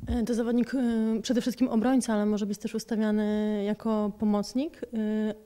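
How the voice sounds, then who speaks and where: A woman's voice: mid-range voice, little pitch movement, moderate pace, warm tone, clear, resonant tone. A woman sports reporter asking questions in a TV interview, often ending with tag questions, on a handheld mic. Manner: leading questions, tags like "tak?".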